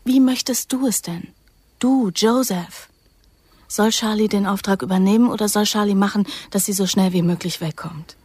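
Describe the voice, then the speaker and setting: An actress in a German radio play playing a young recruit torn by love, vulnerable and wry, in a hushed, intimate manner. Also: female voice, medium pitch, moderate pace, husky, no echo, close to an everyday voice. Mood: pleading, challenging.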